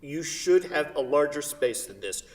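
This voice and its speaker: A man's voice: fairly high pitch, expressive, a little slow. A man in a staged play reading, playing a wealthy, insistent, wistful divorced art buyer with an earnest, effusive manner. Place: theatre stage. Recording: echoey hall, distant microphone.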